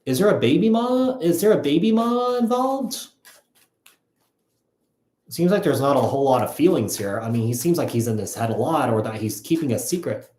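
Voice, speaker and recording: A man giving a casual live tarot reading, thinking aloud in a halting, repetitive way. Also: fairly high pitch, very animated, darkish tone. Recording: echoey, roomy sound.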